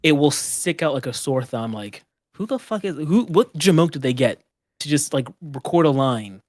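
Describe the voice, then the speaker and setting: A man's voice: a little high, lively intonation, moderate pace, a little mellow, no echo. A man on a casual podcast chat, deflecting with dry, sarcastic, self-deprecating jokes. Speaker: podcast co-host.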